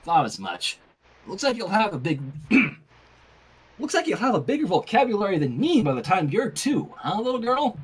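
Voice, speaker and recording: Storybook teen girl babysitter, played by a man: flustered, anxious, husky voice with lively swings. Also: high voice, normal speed, full character voice, low-quality recording. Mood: casual, wry.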